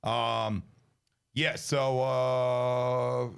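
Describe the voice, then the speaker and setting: A man's voice: mid-range voice, level tone, extremely slow, gravelly, smooth. A man hosting a podcast in a casual, slangy way, wandering through informal questions in a conversational interview. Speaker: podcast host.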